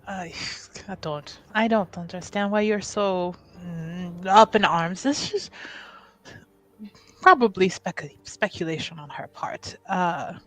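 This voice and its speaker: Casting: woman as secretive young noblewoman in fantasy roleplay, low-pitched, slow, hesitant and formally spoken. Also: animated. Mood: evasive, hesitant. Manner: halting, evasive, formal wording.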